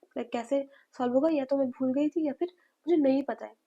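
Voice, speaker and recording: A young woman with a high yet mellow voice explains study tips in a hesitant, filler-heavy way. She sounds slightly hoarse from a cold. The recording is dull and lo-fi. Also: brisk. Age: young adult. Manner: hesitant, mixes in English, many fillers. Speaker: medical student giving study advice. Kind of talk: casual advice to viewers.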